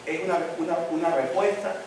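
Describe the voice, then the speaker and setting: A man's voice: a little high, brisk, darkish tone, a little hiss or hum. Man preaching in an echoey church. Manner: call-and-response questions to the congregation; says he has a tongue-tie that tangles his speech. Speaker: pastor. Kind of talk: sermon. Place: church hall. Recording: very echoey hall, mic at a distance.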